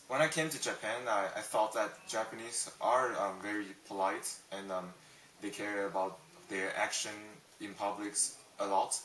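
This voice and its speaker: Young guy from taiwan answering questions, lots of ums, lowish bright voice, mic kinda far off in a roomy space. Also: a little hiss or hum. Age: young adult. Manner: hesitant, many ums and restarts. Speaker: interviewee. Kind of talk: casual interview answers.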